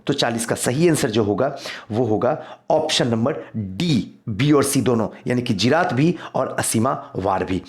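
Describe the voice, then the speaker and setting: A man's voice: medium pitch, animated, unhurried. A man teaching exam history in a quiz lesson, reading out questions and explaining answers, repeating key points for emphasis.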